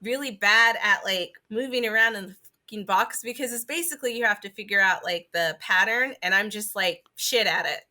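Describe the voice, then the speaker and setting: Woman on a games podcast, bright voice, super casual, says like a lot, swears a bit. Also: medium pitch, a little fast. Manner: informal, sweary, peppered with "like". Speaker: podcast co-host. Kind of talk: casual chat about video games.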